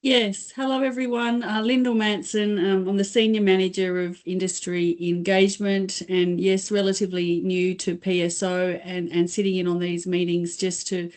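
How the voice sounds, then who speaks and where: A woman introducing herself at a meeting as a senior manager, measured with some hesitations. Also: medium pitch, flat delivery, a little mellow. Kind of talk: self-introduction.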